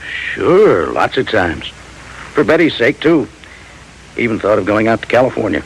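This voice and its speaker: A weary, apologetic cattle rancher in an old radio drama. His voice sits somewhat low, and he talks plainly and hesitantly like someone facing ruin. The vintage recording carries light noise. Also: sing-song, moderate pace, low-quality recording. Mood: resigned.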